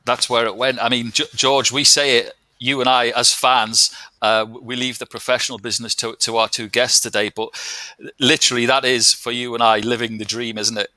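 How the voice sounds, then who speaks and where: A man's voice: medium pitch, level tone, brisk, pushed and bright, no room sound, low-quality recording. A man hosting a football chat show, introducing segments and guests in an upbeat way. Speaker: host.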